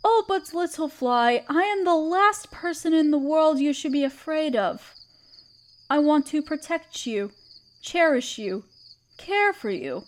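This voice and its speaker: A woman playing a gentle, protective half-spider queen in a roleplay audio drama, speaking tenderly and soothingly to the listener. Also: sits high, expressive, measured pace. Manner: tender, soothing, hesitant and coaxing. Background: chirping crickets.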